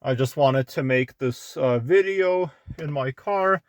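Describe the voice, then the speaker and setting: Slow, rambling young man. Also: medium pitch, dry recording. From Belarus. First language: Russian. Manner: rambling, many fillers and restarts. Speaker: vlogger. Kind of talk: casual talk to camera. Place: inside a car.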